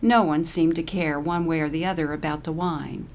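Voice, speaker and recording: Low-pitched woman reading a story, noisy recording. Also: fairly even intonation, normal speed, a little mellow. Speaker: narrator. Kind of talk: scripted short-story narration.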